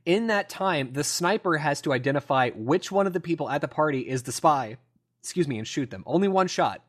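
A man's voice: fairly high pitch, lively intonation, fairly quick. A man hosting a casual gaming podcast, explaining things in a rambling, enthusiastic way. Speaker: podcast host.

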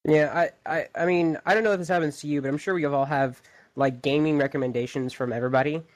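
A man's voice: on the high side, steady tone, fast. A young man co-hosting a casual podcast, easygoing, stringing his thoughts together loosely. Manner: easygoing, loosely strung sentences. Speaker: podcast co-host. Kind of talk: casual chat.

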